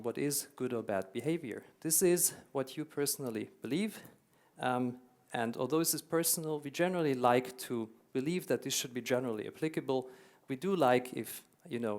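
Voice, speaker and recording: German-accented man giving a keynote. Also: mid-range voice. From Hungary, raised in Germany. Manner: earnest, explanatory, repeats words for emphasis. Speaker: keynote speaker. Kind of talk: conference keynote talk. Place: conference hall.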